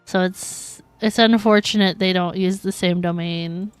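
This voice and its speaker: A woman streams a game in a casual, giggly way. She talks at a relaxed, slower pace and reacts out loud. Her recording is close and dry, with little room sound. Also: fairly low pitch, clean tone, no room sound, faint music behind. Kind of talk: casual live game commentary.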